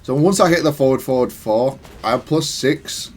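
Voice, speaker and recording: A man's voice: mid-range voice, moderate pace, soft background music. A man giving casual, analytical gameplay commentary in a matter-of-fact, self-critical way. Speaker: gaming content creator.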